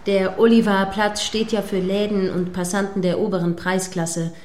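A woman acts out a female radio news presenter in a mock live broadcast. Her voice sits at a medium pitch. She is earnest and prim, setting out long, formal sentences in a measured, correcting manner. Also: flat delivery, a little mellow, slight background noise, lightly acted. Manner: formal, measured, wordy phrasing.

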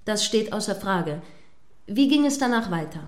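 An actress playing an earnest, primly correct radio news presenter in a radio play, speaking in formal, measured, wordy sentences. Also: female voice, medium pitch, animated, natural voice. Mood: earnest, probing. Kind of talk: mock live radio news interview, scripted radio play.

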